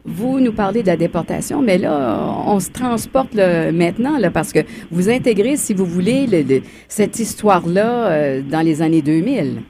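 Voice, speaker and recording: A woman's voice: medium pitch, lively intonation, normal speed, hardly any pauses, background noise. A woman hosting a radio interview, conversational with trailing fillers. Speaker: radio host.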